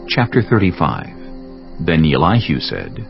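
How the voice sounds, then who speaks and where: Extremely deep, gravelly male scripture narrator. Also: huge pitch swings, measured pace. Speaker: narrator announcing chapters. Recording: dull lo-fi audio with music. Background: background music.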